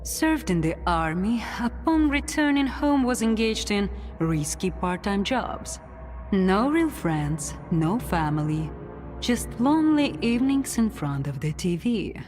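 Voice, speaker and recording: A woman acting as a composed, quietly menacing authority figure in a video game, controlled, deliberate and faintly ironic. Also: medium pitch, very animated. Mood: composed, probing. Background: music.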